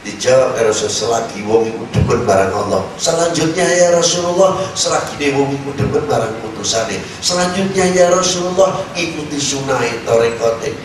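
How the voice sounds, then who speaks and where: A man's voice: mid-range voice, lively intonation, moderate pace, hardly any pauses, far from the mic. A man with a Javanese accent preaching an Islamic sermon in an exhortative, emphatic way, often posing rhetorical questions. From Indonesia. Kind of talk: sermon mixing Indonesian with regional speech and Arabic quotations. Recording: echoey, noisy hall recording from afar.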